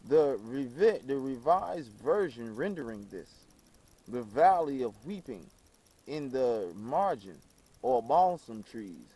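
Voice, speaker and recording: Slow, mellow man reading aloud haltingly. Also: medium pitch, big pitch swings, low-quality recording. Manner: halting delivery, stumbling and repeating phrases. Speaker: reader. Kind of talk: scripted reading aloud of an old book.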